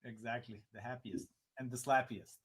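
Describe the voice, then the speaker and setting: A man's voice: mid-range voice, moderate pace. Serbian-accented man hosting a livestream. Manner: relaxed, laughs easily, short agreeing replies. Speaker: show host. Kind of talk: casual livestream interview.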